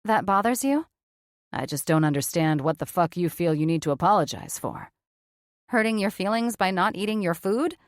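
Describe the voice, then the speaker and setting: A cocky, no-nonsense male surgeon from an audiobook, as a woman narrator puts him on, dropping curses with cool, flat certainty. The voice sits low, and the recording is close and dry with no room sound. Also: normal speed, no room sound, lightly acted. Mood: challenging. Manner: profane, blunt, matter-of-fact. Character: blunt cocky male surgeon, adult man.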